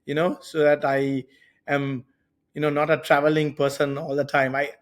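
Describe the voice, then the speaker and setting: A man's voice: a little high, flat delivery, moderate pace. A man talking casually and reflectively on a podcast, tentative and restating his points as he goes. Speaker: podcast speaker.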